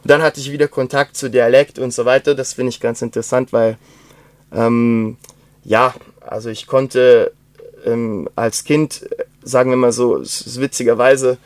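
A young man with a slight Swabian accent, talking casually and rambling with many pauses, fillers and restarts. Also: medium pitch, flat delivery, no room sound. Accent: German with Swabian traces. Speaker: interview guest. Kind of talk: casual conversation.

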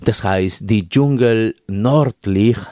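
A man with a Peruvian Spanish accent talks as a guest on a radio show, feeling his way through the words with frequent fillers. His voice is slightly low and mellow, and he speaks slowly. The recording is dry and close. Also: no echo. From Peru. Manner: hesitant, many fillers, careful non-native phrasing. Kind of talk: radio interview.

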